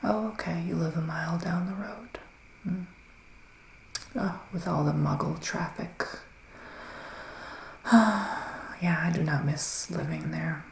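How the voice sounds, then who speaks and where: A man playing a gentle, theatrical male witch psychic in an ASMR roleplay, speaking in a breathy, sighing, partly whispered hush. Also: a little high, takes it slowly, long pauses, roomy sound, a little hiss or hum, rough recording. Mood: welcoming, hushed. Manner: breathy, sighing, hushed, dramatic. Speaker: ASMR performer.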